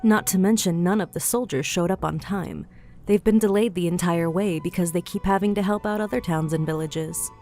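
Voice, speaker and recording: A woman's voice: on the low side, normal speed, a little mellow, natural voice. A woman voicing a cheeky, flirtatious female goliath innkeeper in an audiobook, chatty and teasing, with a motherly streak. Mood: matter-of-fact. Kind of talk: audiobook character dialogue. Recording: music bed behind the voice.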